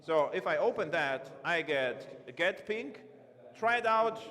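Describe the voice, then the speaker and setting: A man giving a live-coding conference talk from a large stage, halting and correcting himself as he types. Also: fairly high pitch, expressive, fairly bright tone. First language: not Romanian. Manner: halting, self-correcting, thinks aloud while typing. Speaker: conference speaker. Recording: long reverb of a big hall.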